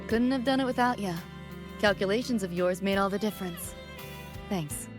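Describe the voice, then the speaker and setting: A woman's voice: mid-range voice, moderate pace, close to an everyday voice. Woman acting a laid back wistful young adventurer gal, slangy n clipped, game cutscene, bit of music behind. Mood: casual, appreciative. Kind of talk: video game cutscene dialogue.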